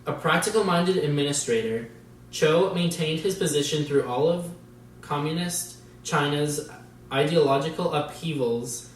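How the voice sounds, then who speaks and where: A man's voice: a little high, measured pace, slight background noise. Distant-miked man presenting amateur news. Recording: distant mic, music behind. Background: music.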